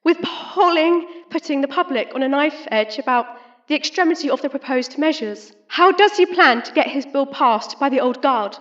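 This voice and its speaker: An earnest, combative woman politician in an audio drama. Her high voice is formal and halting with indignation, in slightly dull sound. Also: animated. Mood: indignant.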